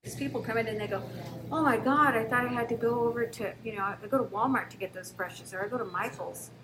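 A woman's voice: a little high, fast. Older woman, shop owner, casual interview, chatty, restarts, noisy background.

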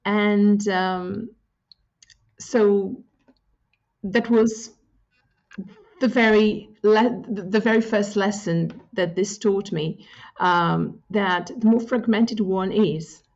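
A woman's voice: mid-range voice, fairly even intonation, a little mellow. Thoughtful woman, muffled audio. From Greece. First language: Greek. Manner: thoughtful, with frequent pauses and fillers. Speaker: guest. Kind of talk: reflective interview answers.

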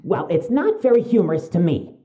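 An actor playing a suspicious young medical student in an audio drama, speaking with precise, urgent, stiffly formal wording. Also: male voice, high-pitched, sing-song, brisk, mellow, dull audio. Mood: wry, insistent.

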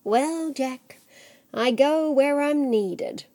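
A woman's voice: high-pitched, drawn-out words, a little mellow, no echo. A woman voicing a male Grim Reaper in a folktale, sly, boastful and genial, with a folksy, amused manner. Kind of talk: live oral storytelling.

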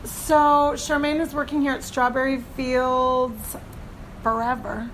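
Slow, high-voiced woman host with gravelly edge. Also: level tone, fairly bright tone, a little hiss or hum, low-quality recording. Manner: laughs often, friendly and chatty. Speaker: podcast host. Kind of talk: casual interview chat.

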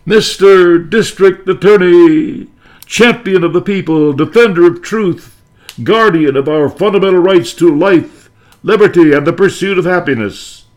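A man's voice: high voice, a little slow, a little mellow, pitched above the performer's own voice. A man voicing a stately, heroic old-time radio announcer with declamatory delivery. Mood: grand, stirring. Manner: declamatory, grand.

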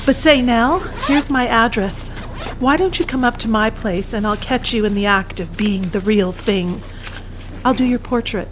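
An actress playing an aging bohemian painter, wry, blunt and regretful, in an audio play. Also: female voice, a little high, moderate pace, a little hiss or hum, natural voice, low-quality recording. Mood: warm banter. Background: bus or vehicle ambience.

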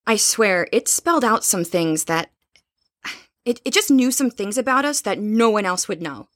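A woman's voice: medium pitch, sing-song, moderate pace, fairly bright tone, no room sound, studio quality. A woman playing a curious, cautious young woman, the sensible friend, in an audio horror drama. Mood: candid. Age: young adult.

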